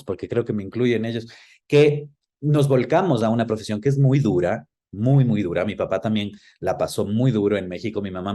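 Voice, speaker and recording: A man's voice: lowish voice, mellow. A man speaking conversationally as an interview guest, thoughtfully searching for words and rephrasing as he goes.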